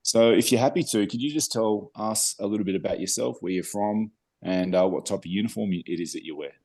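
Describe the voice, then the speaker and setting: Aussie guy with a really low voice, friendly podcast host, joking around. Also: a little fast, a little mellow. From Australia. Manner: friendly, jokey, encouraging. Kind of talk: casual podcast chat.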